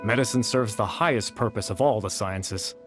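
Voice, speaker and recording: An actor playing a principled researcher who speaks in a dry, matter-of-fact way. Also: male voice, on the low side, moderate pace, close to an everyday voice. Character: principled, aloof male researcher. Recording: game dialogue over music.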